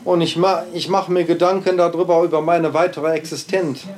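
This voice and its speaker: An older man talking informally as an artist showing his work, halting and repetitive, often stammering into restarts. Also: a little high, little pitch movement, background noise. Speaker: artist interviewee showing own works. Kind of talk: rambling informal talk. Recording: echoey room, music underneath.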